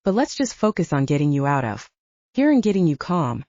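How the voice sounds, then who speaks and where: A woman's voice: deep voice, sing-song, brisk, mellow, no room sound, muffled recording. A woman voicing a young blonde werewolf woman, protective and reassuring, with a soothing but firm manner, in an audiobook. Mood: calm, explaining.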